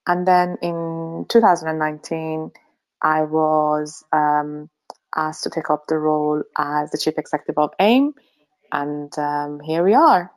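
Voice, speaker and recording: A woman's voice: low voice, steady tone, a little slow. A middle-aged woman from Finland, a pharmacy leader giving an interview, speaking thoughtfully with frequent ums and easy laughs. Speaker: pharmacy association chief executive, guest. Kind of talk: remote interview.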